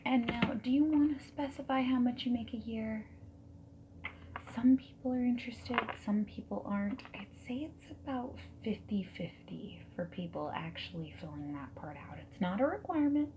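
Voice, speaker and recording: A woman doing a friendly, reassuring ASMR roleplay as a dating service consultant, soft-spoken and often whispering. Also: medium pitch, highs cut off, noisy background. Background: mechanical keyboard typing and clicks.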